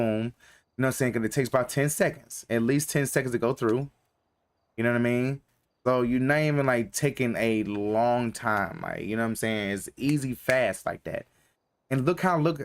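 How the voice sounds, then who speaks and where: Young male streamer from North Carolina; loose, filler-heavy slangy chat to viewers. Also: mid-range voice. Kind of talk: casual live-stream chat.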